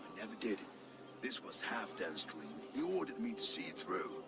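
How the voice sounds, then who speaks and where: A weary Norse warrior for a dramatic video game. His voice is gravelly and creaky, swinging widely between low and high. He is a homesick soul who can still roar at a feast. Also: sing-song, normal speed, over music, exaggerated voice. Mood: weary, wistful. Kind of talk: dramatic game cutscene acting.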